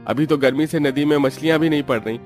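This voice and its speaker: A man's voice: on the high side, fast talker, a little mellow, soft background music, lightly acted. A man playing defensive, hard-up young village brothers who grumble and explain in a cartoon story. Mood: defensive, grumbling. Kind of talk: Hindi cartoon story dialogue.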